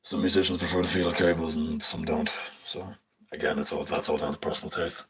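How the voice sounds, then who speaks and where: Very deep, husky young man rambling. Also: level tone, a little fast, a bit bright. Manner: rambling, swears casually, many fillers. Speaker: stage tech student. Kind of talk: casual live screen walkthrough. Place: indoors at a screen. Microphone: phone filming a screen.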